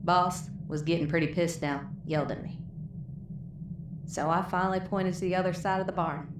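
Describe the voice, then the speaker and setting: A woman's voice: fairly low pitch, flat delivery, normal speed, natural voice. A woman playing a tough, bitter, foul-mouthed wasteland moonshiner, wounded and weary, telling her story with a Southern US accent in an audio drama. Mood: bitter, wry. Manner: folksy, profane, weary storytelling. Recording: roomy echo, clear background noise.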